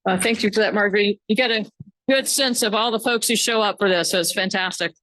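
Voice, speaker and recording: A woman's voice: medium pitch, fast, fairly bright tone. A woman organizing a university symposium, giving loose, chatty housekeeping announcements to a conference hall crowd. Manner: loose, chatty, self-correcting. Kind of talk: casual announcements to an audience.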